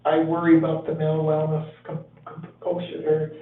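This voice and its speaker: A man with a fairly high voice speaks reassuringly at a council meeting. He is caught from across an echoing chamber. The audio is narrow and phone-like. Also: completely flat delivery, moderate pace, a little hiss or hum. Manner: reassuring, supportive. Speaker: town council member. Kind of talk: meeting discussion. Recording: tinny, echoey hall audio from a distant mic.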